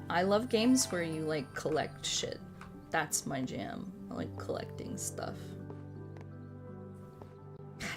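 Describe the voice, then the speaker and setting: A woman's voice: lowish voice, creaky. A woman chatting casually to viewers on a gaming stream, rambling, repeating herself and swearing freely. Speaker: live streamer. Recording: background music under the voice. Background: music.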